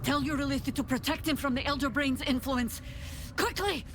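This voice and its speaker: A woman's voice: sits high, steady tone, moderate pace, noisy background, close to an everyday voice. An actress playing a stern, battle-hardened woman leader in a video game, speaking in imperious, dramatic commands. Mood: urgent, threatening.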